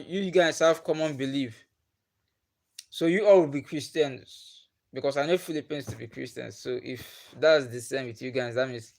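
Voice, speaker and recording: A young Nigerian man, a native Igbo speaker, casually chatting with viewers on a livestream and asking them questions. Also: mid-range voice. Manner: chatty, laughs often, asks viewers questions. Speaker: livestream host. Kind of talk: casual chat with live viewers. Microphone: phone or webcam on a livestream.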